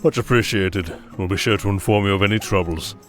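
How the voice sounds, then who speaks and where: A man performs a stern aide who plays the exasperated elder brother. His lowish voice is dry, clipped and prim, full of disapproval. Music sits behind him in this fantasy audio drama. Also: a little fast, fairly bright tone, lightly acted. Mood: dry, formal. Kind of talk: scripted fantasy audio roleplay.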